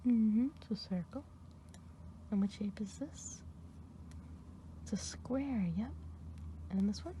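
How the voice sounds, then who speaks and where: Kindly witch played by a woman, soft mellow whisper, patient ASMR roleplay tone. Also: medium pitch, takes it slowly, pauses often, slight background noise, lightly acted. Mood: gentle. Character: kindly good witch woman running an eye exam.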